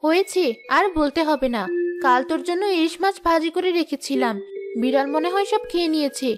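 Woman playing a caring big sister in a cartoon, really high girlish voice, bit bossy, music under it. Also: brisk, natural voice. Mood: caring, firm. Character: caring, firm young elder sister. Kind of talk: Bengali cartoon voice acting.

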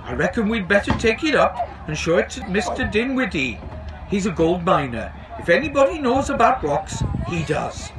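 A man voicing a friendly, excitable engine driver from a children's storybook, with eager exclamations. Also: high-pitched, moderate pace, voice pushed up, poor audio. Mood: puzzled, chatty.

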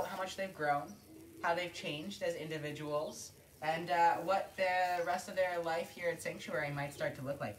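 Low-voiced woman hosting a barnyard stream. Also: slight background noise. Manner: chatty, laughing, many fillers. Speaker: sanctuary livestream host. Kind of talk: casual live stream chat.

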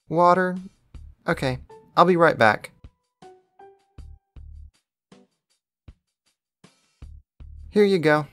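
A woman's voice: lowish voice, no room sound, heavily acted. A woman playing a clingy, affectionate young boyfriend in an intimate ASMR roleplay, teasing, coaxing and pouty. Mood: affectionate, casual.

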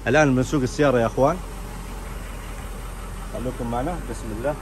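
A man's voice: mid-range voice, fast talker, noisy background. A man, giving an informal walk-around commentary as a car auction presenter. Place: large indoor car showroom and workshop.

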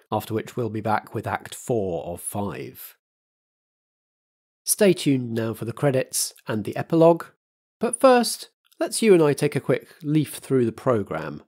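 A man hosting as a flamboyant theatrical compere. He reads announcements in a camp, luvvie style. His voice sits at an ordinary middle pitch. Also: dark tone. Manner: camp, luvvie stage host. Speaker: podcast compere.

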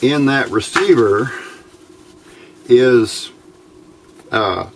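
A middle-aged American man giving an informal, unscripted technical explanation in a plain-spoken, folksy, halting way, recorded on a camera microphone at a workbench. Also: mid-range voice, expressive. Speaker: electronics hobbyist presenter. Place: indoors at a workbench. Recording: noisy home-made camera audio.

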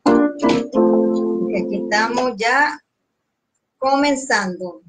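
A woman's voice: on the high side, expressive, very slow, soft background music, poor audio. Chatty Puerto Rican woman hosting live. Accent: Puerto Rican Spanish. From Puerto Rico. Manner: chatty, warm greetings to viewers. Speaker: host. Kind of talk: live stream host chat. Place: small indoor studio room.

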